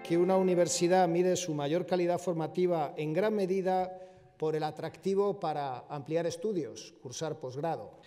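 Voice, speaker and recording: A man's voice: a little high, normal speed, warm tone, faint music behind. A man giving a formal prepared speech. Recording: long hall reverb.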